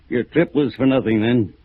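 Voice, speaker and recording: An actor playing an older small-town sheriff in a 1950s radio drama, husky and folksy, hesitant and quietly menacing. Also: male voice, mid-range voice, no echo. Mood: folksy, veiled warning. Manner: hesitant, folksy, drawn-out understatement. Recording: narrow, tinny old radio recording.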